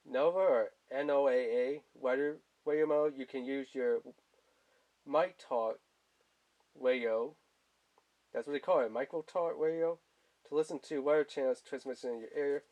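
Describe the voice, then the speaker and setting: A man's voice: mid-range voice, a little mellow. A man giving a casual, rambling product review in informal, colloquial language, speaking off screen indoors. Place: indoors at a tabletop.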